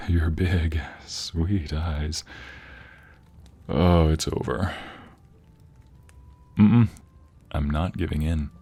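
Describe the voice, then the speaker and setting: A man playing an affectionate, playfully teasing husband in an intimate ASMR roleplay, soft, husky and gravelly, with murmured coaxing and breathy whispers. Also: sits low, exaggerated voice. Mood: playful, mock-resisting. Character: affectionate, playfully teasing, sappy husband doting on his wife.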